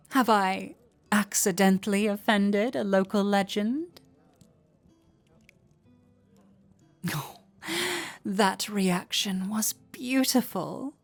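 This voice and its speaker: A woman playing a flirty, warm-hearted tavern innkeeper in an intimate roleplay audio drama, teasing coyly and playfully. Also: mid-range voice. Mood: teasing, amused. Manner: coy, playful, unhurried teasing.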